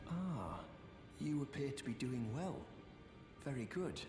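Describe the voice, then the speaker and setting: An actor playing a polite, formal teacher of holy incantations in a fantasy game. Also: male voice, medium pitch, very animated, measured pace. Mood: courteous. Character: courteous, unhurried male holy teacher of incantations. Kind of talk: fantasy game character dialogue. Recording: reverberant hall, music behind.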